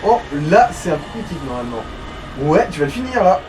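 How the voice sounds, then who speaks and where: Fast-talking man chatting over a game. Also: high voice, big pitch swings, far from the mic, music in the background, low-quality recording. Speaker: let's play co-commentator. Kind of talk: casual advisory gaming chat. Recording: faint background noise.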